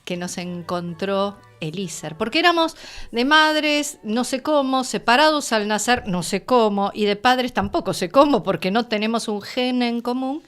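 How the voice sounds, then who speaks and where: A woman with an Argentine accent co-hosting a lively, casual chat in a radio studio. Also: a little high, normal speed, clear, resonant tone. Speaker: radio co-host.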